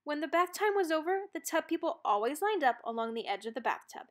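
A woman narrating a children's storybook aloud in an expressive read-aloud style. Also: high voice, fairly quick.

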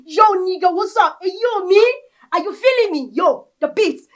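A woman playing a brash, excitable young would-be rapper with a Nigerian accent and a theatrical, chanting delivery in a comic film. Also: very high-pitched, normal speed. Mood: boastful, excited. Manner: theatrical, repetitive chanting and pleading. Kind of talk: comic film acting with Pidgin rapping.

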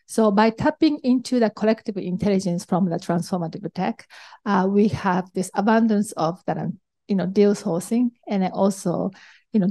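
A woman's voice: mid-range voice, mellow. A middle-aged woman with a Japanese accent, presenting to a conference audience as an investor, hesitant with frequent ums and restarts. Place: conference hall.

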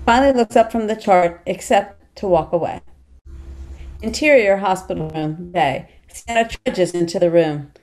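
Lower-voiced woman narrating a script. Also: moderate pace, darkish tone, low-quality recording. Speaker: stage directions reader.

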